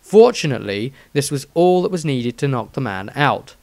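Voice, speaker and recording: A man narrating a scripted fantasy story in a measured storytelling manner. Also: medium pitch, expressive, creaky, darkish tone, no room sound. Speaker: audiobook narrator.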